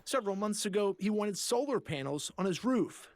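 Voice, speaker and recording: High-pitched man narrating a news report. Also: lively intonation, normal speed, a little mellow. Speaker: TV investigative reporter. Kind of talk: scripted news package narration.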